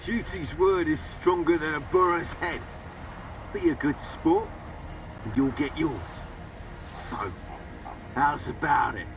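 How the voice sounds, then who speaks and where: An actor playing a swaggering, unhinged military colonel in a video game, barking and taunting theatrically. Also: male voice, fairly high pitch, slow pace, pauses often, distant mic, noisy background. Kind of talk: video game character acting.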